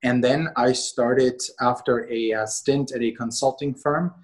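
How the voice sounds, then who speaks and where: A middle-aged man from Austria, a company co-CEO, answering interview questions in a measured way with many fillers. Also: mid-range voice, steady tone. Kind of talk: interview conversation.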